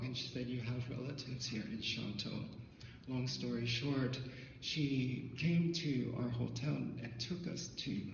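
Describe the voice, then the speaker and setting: A middle-aged man from Thailand whose first language is Thai, telling a personal story at a symposium. He talks through a handheld mic in a dim hall, haltingly, with many fillers and restarts. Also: medium pitch, creaky, noisy background. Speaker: artist presenter. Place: dim hall with projector screen. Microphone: handheld microphone, filmed from afar. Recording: distant, echoey, dull hall audio.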